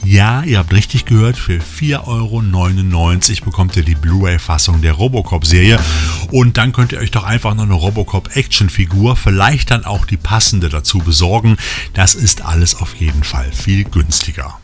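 A man's voice: sits low, moderate pace. A man presenting scripted film and release news as a podcast host, with wry asides addressed to the listeners. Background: music under the voice.

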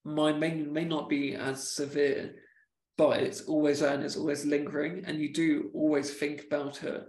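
A man with a stammer talks casually on a podcast, stalling and repeating words as he goes. His voice is slightly high and gravelly with a flat, even pitch. The room around him sounds echoey. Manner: halting, repeats words, speaks of own stammer.

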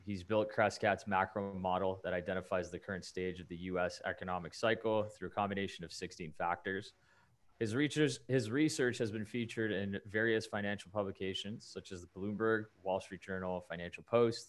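A man hosting a finance podcast in a very deep, low voice. He talks loosely, trails off and fills gaps with ums and uhs. He introduces guests at an easy conversational pace. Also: steady tone, moderate pace. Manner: loose, rambling with fillers. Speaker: podcast host. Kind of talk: casual podcast talk.

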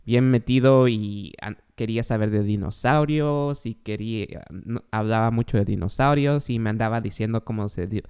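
A man's voice: lowish voice, normal speed, few pauses, darkish tone, clean tone. A man with a Guatemalan accent hosting his podcast, enthusiastic and rambling as he asks questions. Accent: Guatemalan Spanish. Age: adult, father of a young child. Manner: enthusiastic, rambling, self-described joker. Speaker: podcast host. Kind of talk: casual podcast interview.

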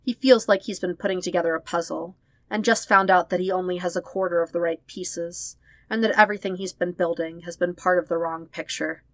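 A woman's voice: fairly low pitch, fairly even intonation, brisk, no echo. A woman reading fan fiction aloud as a scripted story narration. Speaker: podfic narrator.

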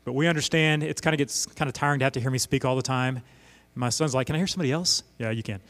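A middle-aged man from Oklahoma preaching a sermon as a visiting missionary pastor, telling stories in an animated way. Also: mid-range voice, racing. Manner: animated storytelling with voiced asides. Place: church sanctuary. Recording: long hall reverb.

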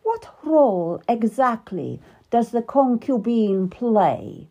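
A woman voicing a dignified, wronged middle-aged queen, speaking slowly and formally in a raised, strained tone. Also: sits high, very animated, dark tone, muffled recording, pitched above the performer's own voice, exaggerated voice. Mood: sarcastic. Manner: slow, measured and formal. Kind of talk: audiobook character reading.

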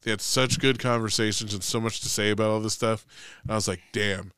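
Forties male podcast co-host, bright and crisp, dry close sound, profane ranter. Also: lowish voice, steady tone, fairly quick, no room sound. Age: 40s. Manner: ranting, profane, gets loud when angry. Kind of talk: casual chat.

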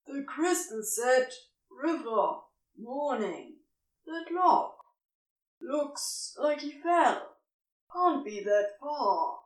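A woman's voice: high voice, expressive, extremely slow, full character voice. A woman doing a muffled far-off lackey. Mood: terse. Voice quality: muffled, distant. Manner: terse clipped phrases. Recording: distant muffled echo.

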